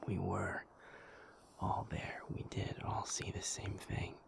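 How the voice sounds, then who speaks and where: A man playing a gentle, patient werewolf in an intimate audio roleplay, soothing and reassuring in a halting, breathy, creaky whisper. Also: low-pitched, flat delivery, full character voice. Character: gentle, patient male werewolf comforting a sleepless partner.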